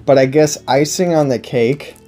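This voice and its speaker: A man's voice: fairly high pitch, normal speed, mellow, soft background music. A man in his twenties giving a casual, unscripted product review indoors, enthusiastic and emphatic, often repeating himself. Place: indoor room, seated at a table before a backdrop.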